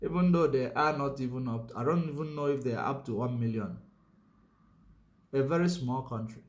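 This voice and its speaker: A man's voice: mid-range voice, normal speed, roomy sound. A man giving a political commentary monologue, emphatic, repeating his points and filling gaps with "you know".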